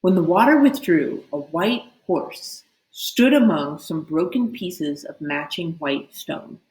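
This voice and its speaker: A woman with a fairly low voice narrates a storybook aloud. She reads expressively, leaning on dramatic words, in an echoey room. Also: lively intonation, takes it slowly, a little mellow.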